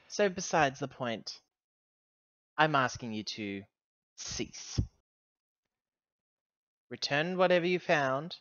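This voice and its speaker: Menacing male spaceship commander, acted by a player, formal, measured, medium pitch, dry lo-fi audio. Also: fairly bright tone, dry recording. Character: cold, threatening, articulate spaceship commander issuing ultimatum.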